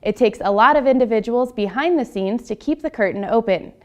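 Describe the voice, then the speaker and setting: A woman news anchor reading a scripted city newscast in a clear, measured way. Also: fairly high pitch.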